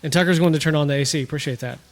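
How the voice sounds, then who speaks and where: A man co-hosting a casual podcast, easygoing and quick to laugh. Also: a little high. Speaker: podcast co-host.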